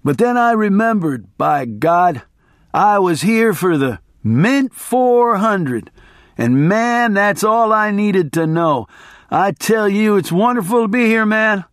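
Man acting a rowdy biker, high-pitched, elated, rambling, profane, audiobook storytelling, close dry sound. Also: animated, slow, no room sound, full character voice. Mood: boisterous, elated. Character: rowdy grinning biker in a bar, battered but elated.

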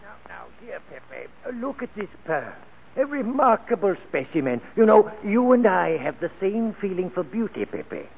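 An actor playing a fussy, flattering old receiver of stolen goods with a creaky voice in a 1940s radio drama. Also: male voice, high-pitched, normal speed, full character voice. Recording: tinny, hissy 1940s radio recording.

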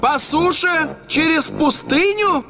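A man voicing a small, excitable, fretful young manservant in a cartoon dub, anxious and full of exclamations and questions. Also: very high voice, slow pace. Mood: worried. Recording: loud music behind the voice.

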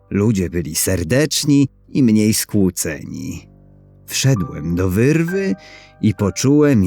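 A man's voice: lowish voice, a little mellow. A man narrating a scripted short story in the first person, as for an audiobook.

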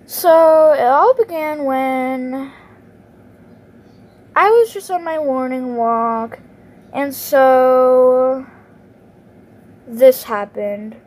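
High-pitched husky female storyteller. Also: very slow, pauses often, a little mellow. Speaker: amateur voice-over creator. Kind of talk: voicing an animated story, acted dialogue.

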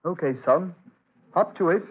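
Guarded doctor, muffled radio sound. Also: male voice, high voice, slightly rough. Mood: firm, anxious. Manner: brisk, firm, evasive. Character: reassuring but guarded doctor, protective father on remote island. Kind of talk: old-time radio drama acting. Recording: muffled narrowband old radio recording.

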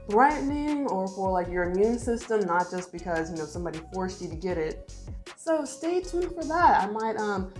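A woman's voice: medium pitch, darkish tone. A young woman vlogger chatting to the camera indoors, slightly congested and recovering her voice, talking directly to her viewers. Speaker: beauty vlogger. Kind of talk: casual vlog tour narration.

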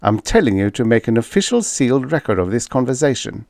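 A man acts out a hard-nosed, sardonic male diplomat in an audiobook story. He speaks in a pressing, accusing way, with lively swings of pitch, in a close, dry recording. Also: fast talker, creaky, no room sound. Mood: firm, commanding. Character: tough, sarcastic male diplomat, blunt and accusing. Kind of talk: audiobook character dialogue.

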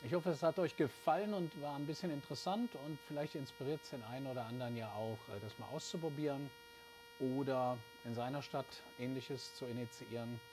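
A man's voice: mid-range voice, fairly quick, over music, rough recording. A middle-aged man hosting and interviewing in a casual, chatty style from an office. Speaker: host, interviewer. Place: small office indoors.

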